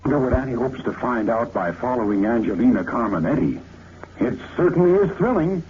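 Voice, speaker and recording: A man announcing a serial in an excitable vintage radio style. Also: mid-range voice, a little fast. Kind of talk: 1930s-40s radio announcing. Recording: tinny, hissy vintage radio recording.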